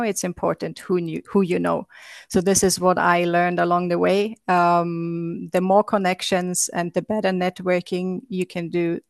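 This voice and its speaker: A woman's voice: fairly low pitch, gravelly, no room sound, clean studio sound. A woman from Austria, a guest entrepreneur answering interview questions in a hesitant way, with many fillers and restarts.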